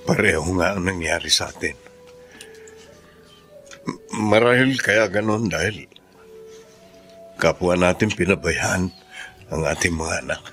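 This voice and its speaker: A man's voice: low-pitched, a little slow, long pauses, fairly bright tone, faint music behind. An actor in a radio drama playing a humbled, repentant father who speaks earnestly. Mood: remorseful.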